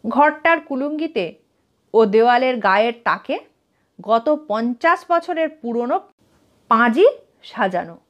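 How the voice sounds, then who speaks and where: A woman tells a literary story in a high voice. Her delivery is slow and expressive, with pitch that moves about a great deal. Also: dull audio.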